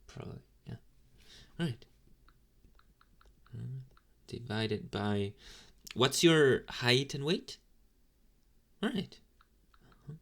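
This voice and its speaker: A young, inexperienced demon boy, played by a man in an ASMR roleplay, addresses the listener in an average-pitched male voice. He speaks slowly and haltingly, with casual, mumbled asides. His temper is sleepy and deadpan, with the mild snark of a slacker who has little power. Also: heavily acted. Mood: distracted, businesslike.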